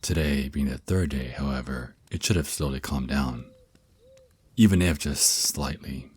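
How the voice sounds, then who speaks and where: A man's voice: a bass voice, husky, no room sound, exaggerated voice. A man voicing a teasing, protective vampire naga boyfriend who mentors his lover in an intimate, reassuring ASMR roleplay. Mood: caring, instructive. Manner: soft teasing endearments, reassuring, unhurried. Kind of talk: ASMR roleplay, intimate one-sided dialogue.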